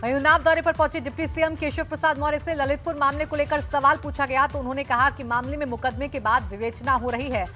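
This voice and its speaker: Female news anchor, high and bright, broadcast bulletin delivery over a music bed. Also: steady tone, fast, hardly any pauses.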